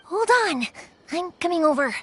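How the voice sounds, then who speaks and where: An actress playing a kind, cheerful young fireworks maker in a video game, speaking in a chatty, casual way. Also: female voice, very high-pitched, expressive, moderate pace, faint music behind. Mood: startled, scolding. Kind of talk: English video game voice acting.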